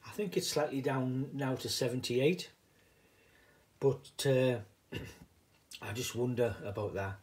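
A middle-aged man from the northeast of England speaks in a Teesside accent at a medium pitch. He hosts a casual home vlog without a script, wandering off the point and back again as he goes. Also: slow pace, long pauses, a little mellow. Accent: northeast English, Teesside. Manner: rambling, digressive. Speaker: video host, hobbyist coin stacker.

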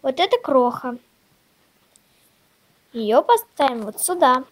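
A female host chatting casually in a toy unboxing video, showing things step by step in a simple, halting way. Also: sits high, sing-song. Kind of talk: casual unboxing vlog chat.

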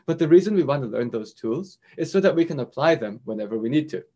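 Animated man explaining over a video call. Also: medium pitch, quick pace. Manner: animated, gesturing, explaining step by step. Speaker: keynote lecturer. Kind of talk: online lecture with slides. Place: indoors in front of a projected slide screen.

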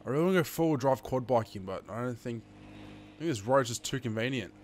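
An Australian man in his twenties hosts a gaming video with a middling-pitched voice. He chats casually to his viewers as he plays, swears freely and sounds slightly sniffly with hay fever. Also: expressive, fast talker, soft background music. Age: 20s. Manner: sweary, chatty, addressing viewers as guys. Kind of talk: casual gameplay commentary.

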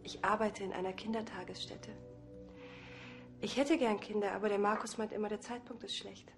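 An actress playing a subdued, fragile young wife, hesitant and earnest, in a TV drama. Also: female voice, mid-range voice, fairly even intonation, normal speed, faint music behind, lightly acted. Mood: subdued, wistful. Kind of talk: TV drama acting.

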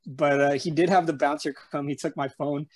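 A man's voice: a little high, fairly even intonation, a little fast. Man with a San Antonio Spanish-tinged drawl. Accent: San Antonio Texan with a slight Spanish tinge. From San Antonio, Texas. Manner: rambling, full of fillers and restarts. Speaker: interview guest. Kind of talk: casual storytelling chat. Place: room at home. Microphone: webcam on a video call.

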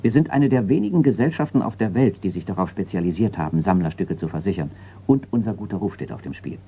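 A man's voice: deep voice, animated, quick pace, husky, exaggerated voice. An actor in a radio crime drama playing a sober, insistent male insurance executive, formal and earnest in manner. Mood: pessimistic, concerned. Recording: dull, noisy radio play recording.

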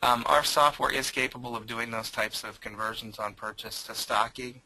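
A man presenting software in a webinar, answering audience questions with frequent hesitations. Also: medium pitch, fairly even intonation, normal speed, slightly rough, no echo, poor audio. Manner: hesitant, many um fillers.

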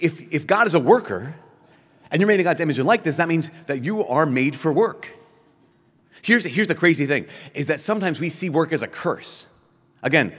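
A middle-aged man, a priest, giving an animated keynote at a podium, full of rhetorical questions and quick restarts. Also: a little high, big-room sound. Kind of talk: lively conference keynote. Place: large conference hall, at a podium. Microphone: podium microphone.

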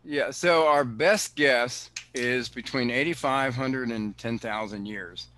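A man, director of a cave preserve, answering questions on a video call in an explanatory way, often pausing with fillers and restarting sentences. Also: medium pitch, sharp tone, a little hiss or hum. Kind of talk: answering questions in an online talk.

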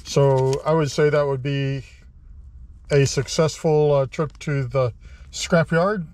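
A middle-aged man casually narrating his scrap metal run, easygoing and rambling, often pausing on fillers and correcting himself. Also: fairly high pitch, steady tone, a little mellow. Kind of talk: casual first-person vlog narration. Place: outdoors at a scrapyard, then inside a car.

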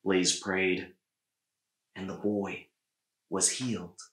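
Slow-reading man, echoey room. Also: deep voice, flat delivery, fairly bright tone. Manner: measured, deliberate phrasing with pauses. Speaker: storybook reader. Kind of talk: read-aloud children's story narration. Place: small indoor room with bookshelves.

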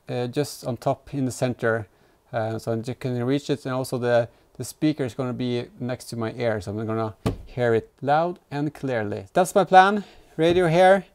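Guy in his 30s doing diy car vlog, smooth clear voice, halting, casual, thinking out loud as he works. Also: medium pitch. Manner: halting, thinking aloud while working. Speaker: host of a DIY vehicle build vlog. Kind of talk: casual hands-on workshop narration. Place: indoor garage workshop, in and around an open car.

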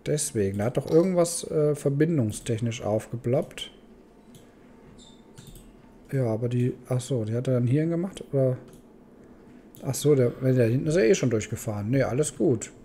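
A man's voice: mid-range voice, lively intonation, normal speed, pauses often, warm tone, slight background noise. A man giving casual let's play commentary, thinking aloud and muttering questions to himself. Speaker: gaming host.

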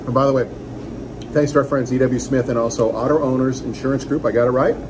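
Brief: man in his forties, upbeat radio-host energy, eating-and-talking vlog in a restaurant, steady room hum behind. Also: mid-range voice, fast. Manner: enthusiastic, joking, talks while eating. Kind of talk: casual restaurant review vlog. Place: small restaurant dining room, indoors. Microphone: phone camera on table.

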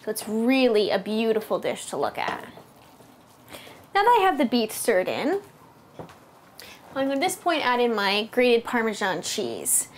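A woman's voice: a little high, huge pitch swings, measured pace, long pauses, slight background noise. A woman in her thirties with an American accent, demonstrating a recipe to camera step by step as a cooking host. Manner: clear step-by-step explaining. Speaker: cooking host, food blogger. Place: home kitchen, indoors.

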